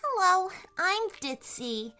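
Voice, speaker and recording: A woman's voice: very high-pitched, sing-song, takes it slowly, sharp tone, faint music behind, pitched above the performer's own voice, exaggerated voice. A woman voicing a playful, polite little mother hen in an emotional, exclaiming storybook style. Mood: playful, bright.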